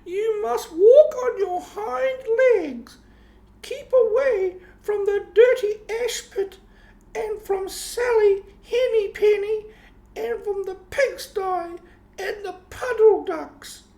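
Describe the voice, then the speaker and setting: Man doing a shrill, falsetto fussy mother cat. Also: slow pace, gravelly, dark tone, roomy sound, exaggerated voice. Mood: bossy warning. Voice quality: very high falsetto. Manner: prim scolding. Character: fussy, bossy mother cat, prim and affronted. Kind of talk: children's storybook character voice.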